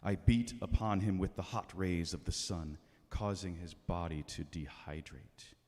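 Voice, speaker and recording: A man's voice: low voice, fairly even intonation, unhurried, a little mellow. A man playing Agony personified as a cruel, relentless, gloating spirit in a grave, dramatic church reading. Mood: cruel, grim. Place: church sanctuary during a service. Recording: reverberant church hall.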